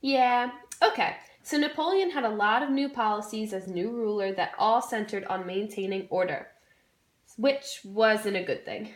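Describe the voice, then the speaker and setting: A woman's voice: mid-range voice, normal speed. A young woman, a student presenter, delivering a scripted history skit in an informal, jokey way. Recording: roomy, echoey room sound.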